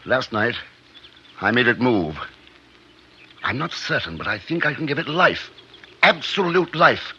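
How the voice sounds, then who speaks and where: An actor playing a proud, secretive, driven scientist in a 1950s radio drama, with an intense, clipped delivery. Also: male voice, mid-range voice, lively intonation, unhurried, long pauses, sharp tone. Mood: fearful exaltation. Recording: muffled, noisy 1950s radio recording, clicks.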